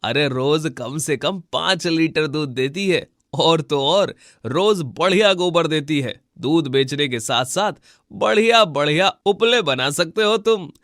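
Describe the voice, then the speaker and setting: A man's voice: on the high side, slow, fairly bright tone, no echo, studio quality. A man voicing a proud, irritable village cattle trader in a cartoon, boastful and brusque. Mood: boastful selling. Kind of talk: Hindi cartoon moral-story dubbing.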